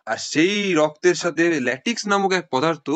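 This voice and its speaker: A police inspector acted by a man in an audio mystery drama, laying out findings officially. His voice is fairly high and very animated in pitch. The recording is dry and close, without room echo. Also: normal speed. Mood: matter-of-fact. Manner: official, informative. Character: police inspector briskly reporting case findings.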